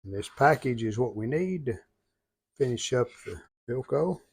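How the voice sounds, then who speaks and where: A man giving casual how-to narration as a hobbyist restorer, plain-spoken and folksy, low-key, talking in short phrases. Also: lively intonation, normal speed, vocal fry, breathy edge. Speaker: hobbyist restorer demonstrating a repair.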